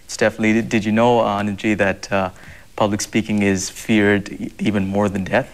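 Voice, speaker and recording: A man's voice: lowish voice, level tone, brisk. A young man from Zambia, answering interview questions earnestly, with many fillers and repeated words. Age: young adult. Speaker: guest.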